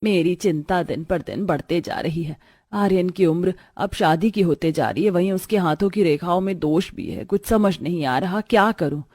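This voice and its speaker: A woman's voice: on the low side, normal speed, darkish tone. A woman playing a worried, protective middle-aged mother in a moral story, earnest and fretful.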